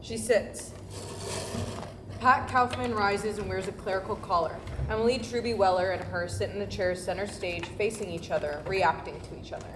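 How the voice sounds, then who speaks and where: Stage directions reader: woman, middle pitch, scripted narration, roomy and noisy live recording. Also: fairly even intonation, moderate pace. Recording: echoey, noisy room, distant sound.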